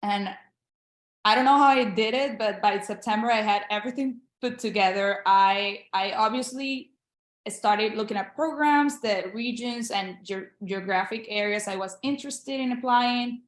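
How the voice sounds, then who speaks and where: A woman's voice: medium pitch, moderate pace, echoey room. A young woman from Venezuela, a Spanish speaker, giving a casual interview as a guest, speaking earnestly and encouragingly over a video call. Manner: earnest, encouraging, with frequent restarts. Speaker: guest, international medical graduate.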